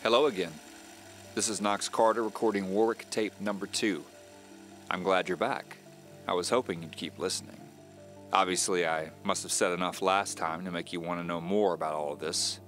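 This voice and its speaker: Wry, brooding amateur tape diarist, low-pitched, measured confessional delivery for mystery radio play, soft music bed. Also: male voice, steady tone. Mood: confiding. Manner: measured, confiding, wry storytelling. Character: divorced handy man confiding his mystery on tape, wry, brooding. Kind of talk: first-person audio drama monologue.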